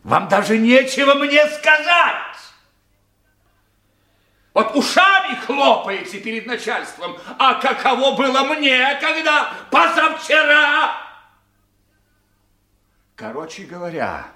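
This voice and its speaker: Radio play male intelligence chief: forceful, sardonic, clipped interrogation, with wide swings of intonation. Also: very high voice, sing-song, takes it slowly, pauses often, heavily acted. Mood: angry, sarcastic. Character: domineering sardonic male intelligence boss grilling his subordinate. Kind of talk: Russian radio drama acting, circa 1990.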